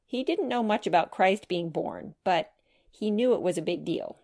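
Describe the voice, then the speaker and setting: Low-pitched woman narrating a children's storybook. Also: expressive, normal speed, vocal fry, darkish tone. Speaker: author narrating own children's book.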